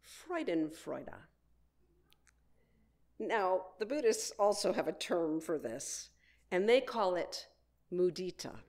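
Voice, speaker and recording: A woman minister delivering a prepared sermon in measured, deliberate phrases with frequent pauses. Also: fairly low pitch, sing-song, a little mellow.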